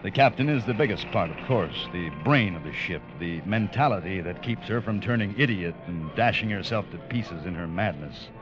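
An actor playing a stiff, dutiful first officer of an ocean liner in a 1940s radio drama, earnest and formal. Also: male voice, sits low, expressive, moderate pace, hardly any pauses. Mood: reflective, earnest. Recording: muffled old radio broadcast, music behind.

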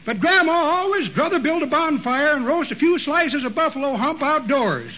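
A cackling old-timer yarn-spinner. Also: male voice, very high-pitched, expressive, moderate pace. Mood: folksy yarning. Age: older. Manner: drawling folksy yarn-spinning, chuckles. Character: cackling old male tall-tale teller. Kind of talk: 1940s radio comedy acting. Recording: dull old radio recording, faint hiss.

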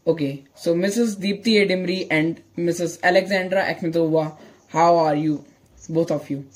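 A man's voice: on the high side, steady tone, moderate pace. A man hosting a podcast, opening the episode and asking his guests questions in an earnest, slightly hesitant way. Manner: earnest, repeats phrases, hesitant fillers. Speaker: podcast host. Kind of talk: hosted podcast intro and interview.

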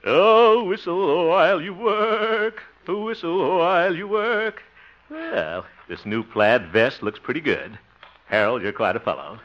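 Seeking a jovial, blustery, self-satisfied fellow for vintage radio comedy; highish, very animated, theatrical delivery. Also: male voice, slow. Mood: smug, cheerful. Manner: chuckling, theatrical, exclaiming. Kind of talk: 1950s radio comedy acting. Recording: muffled, noisy vintage radio recording.

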